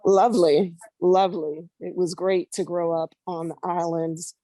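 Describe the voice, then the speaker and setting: A woman's voice: lowish voice, fairly even intonation, a little slow, dark tone. A middle-aged woman from Barbados chatting casually as an interview guest, informal and emphatic, often repeating phrases. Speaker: guest, event promoter and curator.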